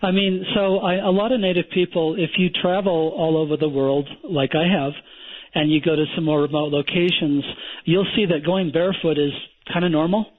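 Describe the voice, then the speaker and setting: Blunt husky man on a phone line. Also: on the high side, steady tone, moderate pace. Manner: emphatic, lecturing, blunt. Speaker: survival instructor, guest. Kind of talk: phone-in radio interview.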